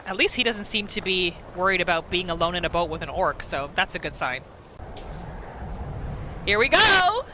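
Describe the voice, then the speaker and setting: Female gamer-storyteller, casual roleplay narration, crisp bright tone, light background noise. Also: fairly low pitch, sing-song, normal speed, long pauses. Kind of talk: casual storytelling game commentary.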